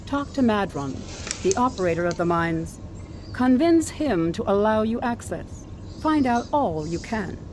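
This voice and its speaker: A woman's voice: mid-range voice, animated, darkish tone, close to an everyday voice. Actress as a composed female admiral, faint background hum. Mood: composed, official. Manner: formal, measured, authoritative. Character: composed female fleet admiral giving mission orders. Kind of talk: scripted game mission briefing.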